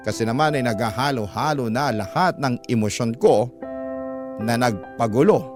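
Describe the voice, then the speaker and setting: A man's voice: mid-range voice, normal speed. A man narrating a scripted first-person story in the style of a radio drama. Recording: music under the narration. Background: background music.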